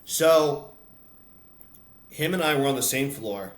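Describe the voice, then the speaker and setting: A man's voice: medium pitch, expressive, slow. An American man talking conversationally as an interview guest, telling personal stories. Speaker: interview guest, announcer and voice-over artist. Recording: roomy echo, noticeable background noise.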